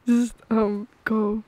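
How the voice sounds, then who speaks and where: A woman doing an intimate girlfriend roleplay in ASMR style, soft and breathy, often dropping into a whisper, with a playful, dorky manner. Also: fairly high pitch, dry recording.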